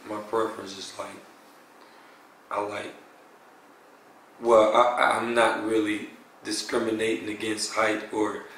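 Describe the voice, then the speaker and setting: A young man with a slightly raspy voice, talking in a loose, rambling way, as a blindfolded contestant on a blind date. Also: fairly low pitch, completely flat delivery, slow pace, pauses often, a bit bright. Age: young adult. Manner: laid-back, rambling, lots of "you know what I'm saying". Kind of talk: casual chat. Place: living room indoors. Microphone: far camera. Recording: echoey room from far off.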